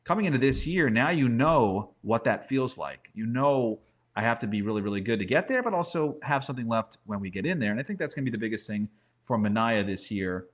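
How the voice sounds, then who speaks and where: Male podcast guest with a broadcaster's background, medium pitch, talkative conversational sports analysis. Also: expressive, brisk.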